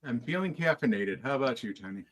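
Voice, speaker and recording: Wry man in his forties, lively pitch. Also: fast. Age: 40s. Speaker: guest. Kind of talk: casual livestream chat.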